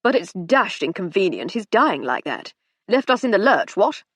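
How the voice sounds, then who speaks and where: A woman reading aloud voices a chubby, red-faced gentleman who blusters in a slightly drunken way. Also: medium pitch, very animated, normal speed, no echo. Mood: blustering.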